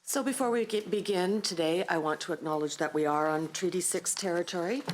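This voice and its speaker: Low-voiced woman chairing a panel, noisy mic. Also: brisk. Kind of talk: formal meeting opening.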